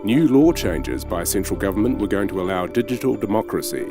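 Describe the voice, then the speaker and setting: A man giving measured, serious documentary narration. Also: lowish voice, very animated, vocal fry, over music.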